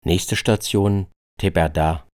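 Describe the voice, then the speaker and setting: Very deep-voiced man narrating radio feature. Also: slow pace, a little mellow. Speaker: narrator, reporter. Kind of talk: scripted radio travel documentary narration. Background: plucked guitar music.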